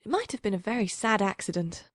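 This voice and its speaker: A woman's voice: medium pitch, big pitch swings, fast talker, no room sound. Gushing chatty young lady, woman reader doing her, dry sound. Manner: effusive, gushing. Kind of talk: audiobook character voice.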